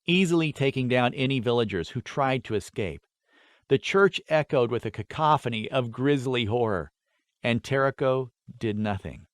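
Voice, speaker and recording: A man narrating a fantasy audiobook from a script, with deliberate, dramatic phrasing. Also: medium pitch, dry recording, clean studio sound.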